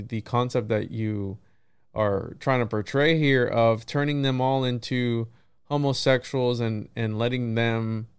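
A man's voice: mid-range voice, clean tone, no room sound, studio quality. A man hosting a casual conversational interview, rambling and often circling back to rephrase what he is saying. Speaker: podcast host.

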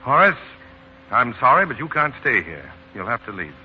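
Male actor as brisk, bewildered gentleman, mid-range voice with lively inflection, vintage radio play, muffled sound. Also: medium pitch, lightly acted. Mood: exasperated. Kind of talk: 1940s radio acting. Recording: muffled, hissy 1940s radio broadcast.

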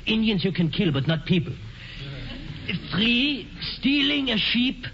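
An actor playing a slow-witted older colonial councilman who reads out the law in broken grammar. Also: sits high, very animated, a little hiss or hum. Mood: plodding. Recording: dull old radio broadcast with reverb.

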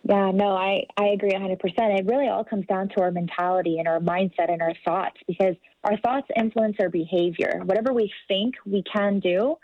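A woman's voice: mid-range voice, fairly even intonation, brisk, few pauses, no room sound, highs cut off. A woman, American-born, speaking as a guest in a podcast interview in an earnest, articulate and motivational way. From United States.

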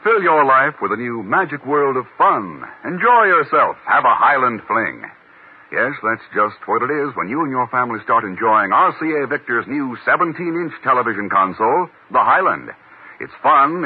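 A man's voice: medium pitch, breathy edge, narrow, tinny audio. A man announcing and reading sponsor copy in a 1950s radio style. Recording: band-limited 1950s radio broadcast, music behind.

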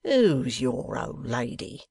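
A man acts out a sly Victorian bully for an audiobook. The rogue sneers and blusters with impudent contempt, in rough colloquial phrases. The recording is dry and close. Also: medium pitch, very animated, takes it slowly, darkish tone, no echo, full character voice. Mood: blustering. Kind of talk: dramatised audiobook character voice.

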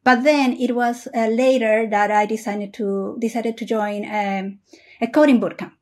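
Higher-voiced woman from Peru, measured. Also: steady tone, darkish tone. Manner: measured and thoughtful, with frequent "uh" pauses. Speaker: software engineer guest. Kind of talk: casual interview answers.

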